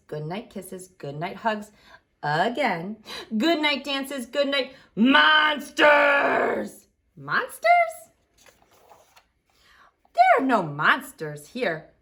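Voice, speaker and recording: A woman leading a library storytime for children, singing along and reading picture books aloud in an expressive, exaggerated way. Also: fairly high pitch, very slow. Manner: expressive, exaggerated delivery aimed at small children. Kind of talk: animated children's sing-along and picture-book read-aloud.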